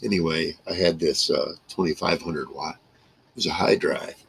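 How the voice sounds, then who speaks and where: A man in his sixties with a rough, gravelly voice, rambling casually to camera in halting phrases. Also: extremely low pitch, steady tone, a bit bright. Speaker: vlogger reminiscing about a radio hobby. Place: small wood-paneled room indoors.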